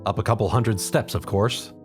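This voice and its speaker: A man's voice: fairly low pitch, normal speed. A man narrating a fan fiction story in a dramatic second-person storytelling style. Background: background music.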